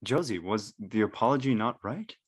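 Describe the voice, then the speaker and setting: A woman's voice: a bass voice, lively intonation, moderate pace, breathy edge, heavily acted. A woman playing a laid-back, slangy teenage schoolboy in a drama read. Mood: easygoing. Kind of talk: audio drama table read acting.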